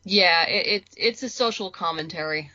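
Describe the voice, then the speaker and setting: A woman's voice: on the low side, normal speed, pushed and bright, dry recording, lo-fi sound. A woman chatting casually as a guest in an interview. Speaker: interview guest, comics creator. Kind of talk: casual chat.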